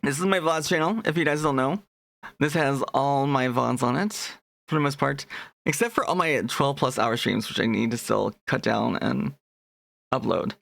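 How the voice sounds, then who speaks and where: A man's voice: medium pitch, normal speed, gravelly, a bit bright, no room sound. A young man chatting casually on a live stream, rambling and restarting his sentences as he greets his chat.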